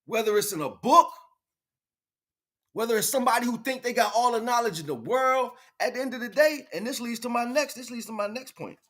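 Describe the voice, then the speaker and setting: A man's voice: very high-pitched, expressive, brisk, fairly bright tone. A man giving an improvised spiritual, motivational livestream talk in an emphatic, preachy way, repeating phrases for effect.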